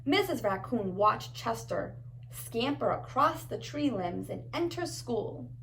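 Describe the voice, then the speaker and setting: A woman's voice: fairly high pitch, expressive, slow pace, vocal fry, fairly bright tone, a little hiss or hum, poor audio. A woman reading a storybook aloud to young children like a preschool teacher, adding encouraging asides and simple questions.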